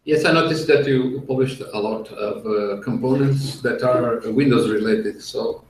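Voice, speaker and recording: A man's voice: medium pitch, unhurried, a little hiss or hum. A man from Portugal, hosting an interview in a chatty, joking way. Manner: chatty and joking, laughs between remarks. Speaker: interview host. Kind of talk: casual interview over a call. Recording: roomy, from a distance off the mic.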